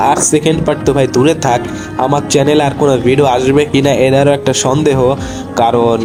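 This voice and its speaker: A young man with a Bangladeshi accent giving casual, slangy, chatty commentary as a gaming video creator. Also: medium pitch. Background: clear music.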